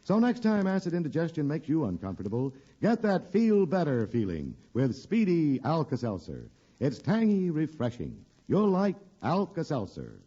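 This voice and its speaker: A man announcing a scripted vintage radio commercial in an advertising pitch, on an old recording. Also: huge pitch swings, moderate pace, hardly any pauses, dark tone, husky, lo-fi sound.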